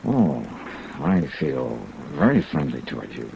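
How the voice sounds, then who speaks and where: An actor playing a tough, wry insurance investigator in 1950s radio noir, with an American accent and a clipped, dry delivery. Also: male voice, rumbling low, lively intonation, measured pace, gravelly, full character voice. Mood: wry, guarded. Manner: clipped, dry, hard-boiled. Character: tough, wry male insurance investigator narrating his own case. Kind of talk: 1950s radio noir acting with first-person narration. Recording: muffled, noisy vintage radio recording.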